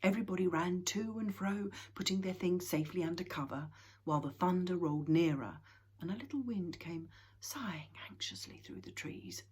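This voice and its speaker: A woman reads a children's storybook aloud, often dropping into a hushed whisper. Her voice sits low and is extremely breathy and husky. The delivery is quiet and intimate. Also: normal speed.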